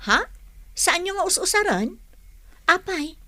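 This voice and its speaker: Bright, animated, chatty young wife. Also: female voice, very high-pitched, a little slow, heavily acted. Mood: curious, incredulous. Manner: expressive, conversational, teasing. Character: thrifty, chatty younger married woman, cheerful and frank. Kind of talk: Ilocano radio drama acting.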